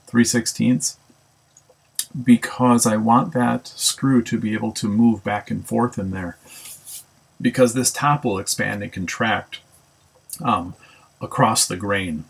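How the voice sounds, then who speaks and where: Gravelly-voiced man explaining woodwork. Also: on the low side, slow pace, pauses often, low-quality recording. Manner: relaxed, thinks aloud with many ums and self-corrections. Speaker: woodworking instructor. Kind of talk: casual how-to narration. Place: home woodshop.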